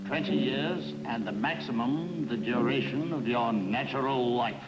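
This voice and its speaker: Actor as a formal, measured judge, music behind. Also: male voice, medium pitch, close to an everyday voice, poor audio. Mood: stern. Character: stern, formal male judge, earnest devoted husband. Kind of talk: dramatic acting in an old-style suspense drama.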